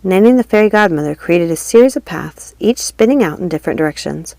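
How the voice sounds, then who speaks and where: A woman narrating a storybook in an even, steady reading voice. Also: lowish voice, fast, gravelly, dry recording. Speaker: audiobook reader. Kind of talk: storybook read-aloud narration.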